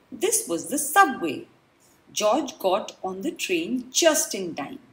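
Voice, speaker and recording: Slow, lively high-pitched woman reading a storybook. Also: low-quality recording. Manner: expressive, dramatic storytelling with deliberate pauses. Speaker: children's book reader. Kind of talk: read-aloud storybook narration.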